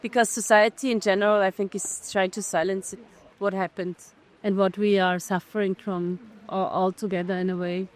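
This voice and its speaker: A woman's voice: medium pitch, little pitch movement, normal speed. Thoughtful Austrian-born woman filmmaker. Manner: thoughtful, searching for words, frequent restarts. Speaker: documentary filmmaker, festival guest. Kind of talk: festival interview answers.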